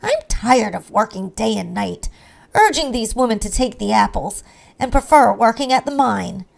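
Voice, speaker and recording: A woman's voice: fairly high pitch, big pitch swings, normal speed, vocal fry, heavily acted. A woman voicing a fed-up, hard-working male gnome in a storybook parody, protesting indignantly.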